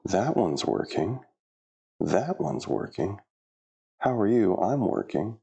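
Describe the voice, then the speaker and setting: A middle-aged man in a home gym talks his way through his workout in a casual vlog. His voice sits low and gravelly. He chats to viewers and mutters to himself, a little flustered as he gets set up. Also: very animated, slow pace, dark tone. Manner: chatty, self-talking, flustered by equipment trouble. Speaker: fitness vlogger.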